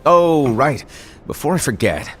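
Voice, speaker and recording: A man's voice: on the high side, big pitch swings, a little slow, a bit bright. An actor playing a cheerful, eccentric male master who talks breezily and playfully. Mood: ominous. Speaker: voice actor in a game cutscene. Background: faint game music.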